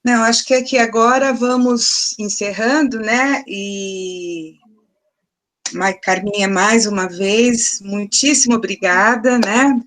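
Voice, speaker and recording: A woman's voice: medium pitch, fairly even intonation, slow pace, fairly bright tone. A woman mediating a research seminar, closing it in a friendly way, with tag questions, on a video call.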